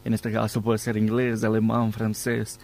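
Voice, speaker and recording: Even-toned man hosting a podcast. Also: on the low side, moderate pace, creaky. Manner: frequent "¿verdad?" tags. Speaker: podcast host. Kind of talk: conversational interviewing.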